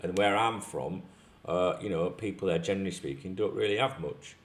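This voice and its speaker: A man's voice: deep voice, a little fast. A middle-aged man from North Yorkshire with a Northern English accent, talking casually and bluntly to camera as a YouTube host, swearing freely. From North Yorkshire, England. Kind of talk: casual opinionated talk to camera. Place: large tiled-floor room at home, indoors. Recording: echoey room.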